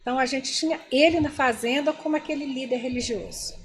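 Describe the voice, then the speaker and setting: A woman's voice: high voice, fast talker, slight background noise. An older woman from Brazil, a guest in an interview, telling stories from her past and speaking directly to the people she is talking with. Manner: animated, addresses listeners directly. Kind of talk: storytelling interview.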